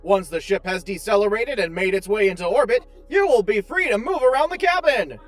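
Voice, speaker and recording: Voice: medium pitch, fairly quick, no room sound, soft background music, close to an everyday voice. An actor playing a chipper, oblivious, unfailingly polite tour guide AI in a space audio drama, speaking with formal, cheerful precision.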